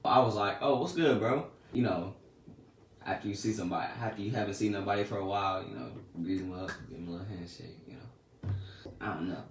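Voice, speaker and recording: A teenage boy casually telling a story about his day in slangy, laid-back talk that often trails off soft and quiet. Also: on the low side, brisk, recorded from a distance. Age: teens. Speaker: vlogger. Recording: echoey room, a bit off-mic, faint noise.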